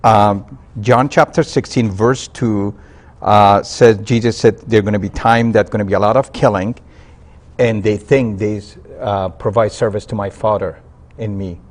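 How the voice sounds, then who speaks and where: A man from Afghanistan, a guest on a religious talk program, telling his conversion story earnestly, halting with fillers and restarts. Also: fairly low pitch, steady tone. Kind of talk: interview testimony.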